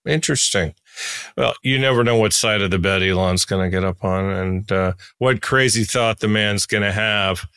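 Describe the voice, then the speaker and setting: A man's voice: lowish voice, normal speed, a bit bright, smooth, no echo. A man hosting a podcast interview in a casual, laid-back and blunt way.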